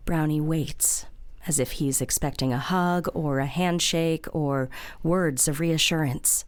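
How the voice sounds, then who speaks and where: Low-voiced woman narrating an audio drama. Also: unhurried, no room sound. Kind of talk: scripted present-tense audio drama narration.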